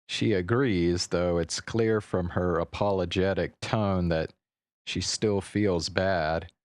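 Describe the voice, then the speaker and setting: A low-pitched man streaming a visual novel, reading the first-person narration aloud. His delivery is measured with occasional stumbles, and the sound is dry and close. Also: fairly even intonation, no room sound.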